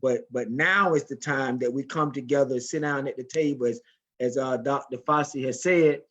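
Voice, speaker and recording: Earnest man, halting, on muffled call audio. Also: medium pitch, a bit bright. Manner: earnest, halting, frequent uh's. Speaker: panelist. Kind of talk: panel discussion on a video call. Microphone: video call.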